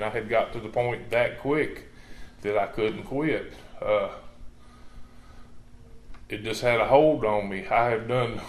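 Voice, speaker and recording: A middle-aged man, from southwestern Virginia, giving a rambling personal testimony with dry humor in a church. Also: medium pitch. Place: church sanctuary. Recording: echoey church room.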